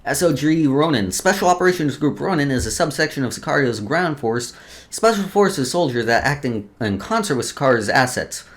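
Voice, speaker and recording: A man's voice: fairly high pitch, expressive, a little fast. A man hosting a let's play, reading game lore aloud with casual asides and often stumbling over words before restarting.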